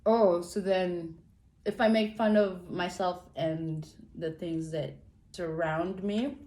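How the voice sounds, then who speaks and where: A woman's voice: lowish voice, fairly even intonation, slow pace, warm tone. A woman in her twenties who works as a theater performer, telling reflective stories about growing up and laughing often in a casual family chat. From Canada. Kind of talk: casual family chat in mixed English and Tagalog. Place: home room, roomy. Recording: roomy home room echo.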